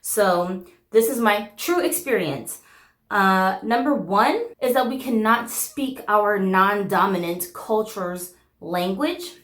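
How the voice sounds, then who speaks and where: Woman host in her thirties, korean native speaker, talks openly and casually, mid-pitched, roomy echo. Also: animated, slow, a bit bright. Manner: candid, chatty, frequent "like" and "um" fillers. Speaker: channel host. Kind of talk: casual chat to camera.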